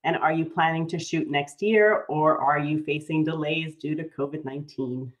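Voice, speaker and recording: A woman's voice: deep voice, fairly even intonation, normal speed. A woman moderating a video call panel, reading audience questions in an upbeat way.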